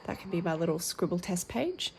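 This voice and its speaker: A woman with a croaky, tired voice hosting her colouring channel, chatting casually with lots of ums as she reads out packaging and unboxes. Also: on the low side, sing-song, a little fast, darkish tone. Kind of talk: casual tabletop unboxing chat.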